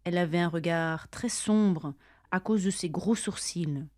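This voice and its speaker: A woman reading her part of a scripted dialogue for language learners, speaking carefully and deliberately, each line said twice. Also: fairly low pitch, darkish tone, no echo, studio quality. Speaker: language-lesson dialogue reader.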